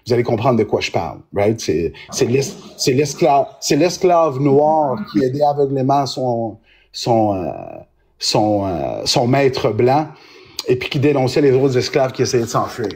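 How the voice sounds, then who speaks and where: A man's voice: mid-range voice, lively intonation, measured pace, a little mellow, slightly rough. A man with a Québécois French accent, a lawyer explaining his view in a live-stream debate, often restarting his words. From Quebec. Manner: explanatory, hesitant with frequent restarts.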